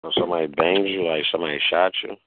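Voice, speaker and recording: Man, from Philadelphia, very deep, gravelly, blunt questions, dry tinny audio. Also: steady tone, rapid-fire, no room sound. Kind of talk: casual chat. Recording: dry phone-like line.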